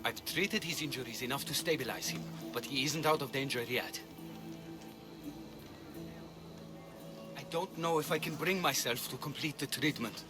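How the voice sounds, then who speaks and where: A man acting as an ancient healer torn by his conscience. His delivery is earnest and measured. It is game drama with clear music behind the voice. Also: medium pitch, close to an everyday voice. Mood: resigned. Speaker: video game character. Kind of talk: dramatic game cutscene dialogue.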